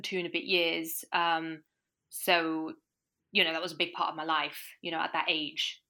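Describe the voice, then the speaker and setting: A woman's voice: low voice, flat delivery, normal speed, bright, forceful tone. A woman guest in a casual interview, talking thoughtfully with many restarts. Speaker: language course provider, guest.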